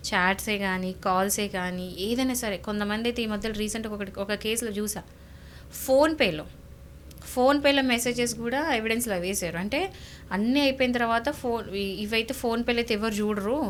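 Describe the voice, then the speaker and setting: A woman's voice: a little high, normal speed, slight background noise. A woman with a Telangana Telugu accent explaining legal advice conversationally as an expert, emphatic, repeating points and asking rhetorical questions. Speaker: lawyer, guest legal expert.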